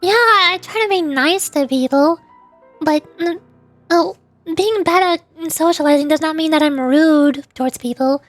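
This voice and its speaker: High-voiced young-sounding woman streamer, hesitant. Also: no echo. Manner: hesitant, halting, self-deprecating. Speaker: livestreamer, VTuber voice actor. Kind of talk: casual livestream chat while playing a game.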